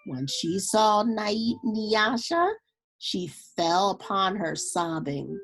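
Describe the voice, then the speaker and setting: A woman reading a children's story aloud in a deliberate, expressive storytelling way. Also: medium pitch, breathy edge, soft background music, poor audio. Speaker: storybook reader.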